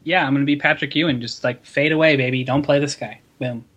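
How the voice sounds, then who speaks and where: A man's voice: mid-range voice, fairly even intonation, fast talker, a bit bright. A man co-hosting a casual fantasy sports podcast, rambling and restarting his sentences as he gives his picks. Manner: rambling, restarts sentences, many fillers like "you know". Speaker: podcast co-host, fantasy basketball analyst.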